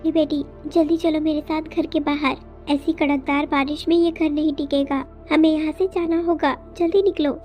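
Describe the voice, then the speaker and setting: A woman's voice: high voice, steady tone, normal speed, few pauses, a little mellow, faint music behind, voice pushed up, lightly acted. A woman voicing an anxious, tender widowed mother bird in a children's cartoon, pleading and earnest. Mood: alarmed. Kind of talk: Hindi children's cartoon dialogue.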